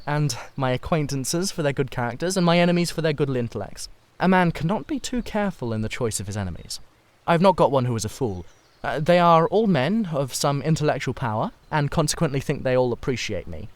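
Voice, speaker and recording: A man voicing a witty, vain, cynical aristocratic gentleman in a theatrical reading of a Victorian novel. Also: medium pitch, lively intonation, brisk, no room sound, close to an everyday voice. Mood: mock indignation. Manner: flippant, theatrical, epigrammatic.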